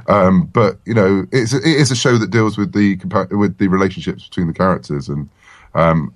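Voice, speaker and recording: A man being interviewed in a casual chat, talking in an enthusiastic, rambling way. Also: low voice. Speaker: interview guest, comic writer.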